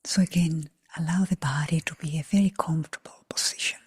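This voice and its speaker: A woman leading a guided meditation for a group in a hushed, breathy near whisper, unhurried, with long pauses between short phrases. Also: low-pitched, steady tone, dry recording, studio quality. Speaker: meditation teacher.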